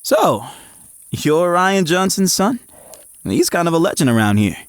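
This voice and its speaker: Eager young male jock lead, animated and unhurried, for audio drama narration and dialogue. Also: high voice, clear, resonant tone, dry recording. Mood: puzzled, eager. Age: young adult. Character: eager, frazzled young male athlete narrating his story.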